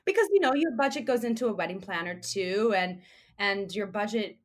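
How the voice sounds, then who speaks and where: A woman speaking as an interview guest, relaxed and chatty, with a hoarse voice. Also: medium pitch, fairly quick. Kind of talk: casual interview chat.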